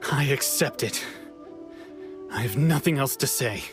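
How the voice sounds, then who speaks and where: A man's voice: medium pitch, a little slow, close to an everyday voice. A man voicing a resigned, melancholy swordsman in an anime video game, speaking in a subdued, reticent way. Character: resigned, melancholy male swordsman, quietly accepting defeat. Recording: game music behind voice.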